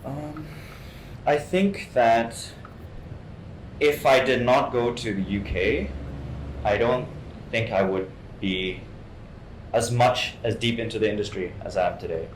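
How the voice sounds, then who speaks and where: A man from Hong Kong giving an informal interview as a guest actor, halting and often repeating his words. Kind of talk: informal artist talk, answering questions. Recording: echoey room, faint rumbling noise.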